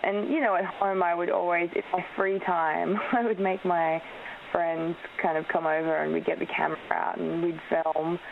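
A woman's voice: on the low side, little pitch movement, normal speed, gravelly. A young Australian actress in her twenties, whose first language is Polish, chatting casually in an interview with lots of ums and laughs. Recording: tinny phone-like radio line with faint hiss.

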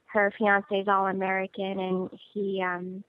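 An actress being interviewed over the phone, chatty and bubbly, laughing often. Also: female voice, mid-range voice, monotone, measured pace, a little hiss or hum. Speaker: actress guest. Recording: tinny phone line.

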